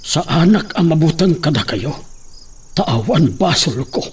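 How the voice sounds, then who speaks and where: A man's voice: a little high, animated, measured pace, husky, exaggerated voice. A man playing an old farmer, indignant and insistent about his innocence, in a melodramatic Filipino radio drama. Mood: defiant, indignant. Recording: noisy track with clicks and insects.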